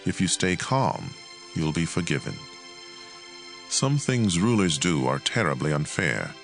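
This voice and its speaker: A man reading scripture as a dramatized audio Bible narration, in a measured and expressive way. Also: deep voice, gravelly, soft background music.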